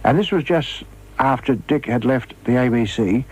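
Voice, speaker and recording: An older Australian man telling anecdotes in a radio interview, halting as he recalls them. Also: medium pitch, a little mellow, husky. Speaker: former radio comedy performer, interviewee. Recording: slightly dull archive radio interview.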